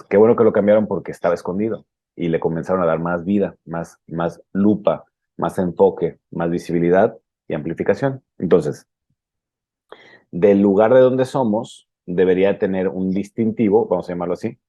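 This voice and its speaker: Hombre mexicano de voz grave y oscura. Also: male voice, deep voice, dark tone, no echo, lo-fi sound. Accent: Mexican Spanish. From Guadalajara, Mexico. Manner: measured, explanatory. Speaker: podcast host. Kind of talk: interview questions.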